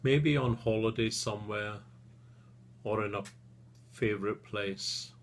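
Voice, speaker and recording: A man leading a guided relaxation session as a therapist, speaking soothingly and gently in short phrases with long pauses. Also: lowish voice.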